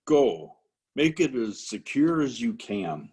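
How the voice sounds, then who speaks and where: A man's voice: mid-range voice, very animated, slow pace, darkish tone, breathy edge, full character voice. A man reading the part of a commanding Roman governor in a dramatic scripture reading.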